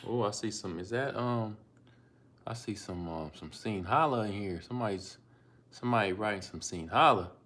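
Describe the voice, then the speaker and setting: A man with a very low voice hosts a casual live stream, speaking through a phone. He comes from Ohio and talks in a relaxed, rambling way, pausing on ums as he reads out donor names and answers questions about learning languages. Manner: laid-back, unhurried, with frequent ums and asides. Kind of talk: casual live-stream chat reading donations.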